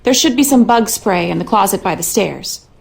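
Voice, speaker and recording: An actress playing the worried, weary lady of a rundown manor in a video game, speaking in a measured, dry, articulate way. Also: mid-range voice, animated, creaky, a little hiss or hum. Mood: exasperated, worried.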